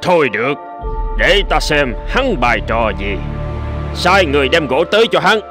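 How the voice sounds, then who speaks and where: A man voicing an imperious, quick-tempered king in a folk-tale cartoon, with a haughty, commanding manner. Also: on the high side, very animated, music in the background. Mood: skeptical, commanding. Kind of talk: Vietnamese cartoon dubbing.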